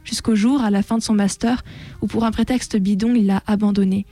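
Woman reading a testimony out loud on radio, soft dark tone, pretty flat, serious and measured. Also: medium pitch, no room sound. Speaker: radio show contributor reading a testimony on air. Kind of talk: reading a written first-person testimony aloud.

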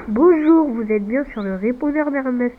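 A woman's voice: high voice, lively intonation, normal speed. A female performer voicing a busy god's polite, businesslike answering-machine greeting through a phone filter. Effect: telephone answering machine filter. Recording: tinny noisy phone line.

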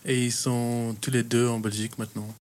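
A man's voice: medium pitch, level tone, brisk, darkish tone, a little hiss or hum. Hesitant young man in his twenties. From Kenya. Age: 20s. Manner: hesitant, many fillers and restarts. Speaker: youth worker, interview guest. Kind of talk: casual interview answers.

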